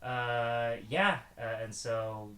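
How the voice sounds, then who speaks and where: A man with a loud voice whose pitch spikes upward, chatting casually and rambling, laughing often, in a room at his house. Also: drawn-out words, reverberant, crowd noise. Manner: rambling, laughs often, lots of "right?" and "you know". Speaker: magician and improviser. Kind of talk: casual chat.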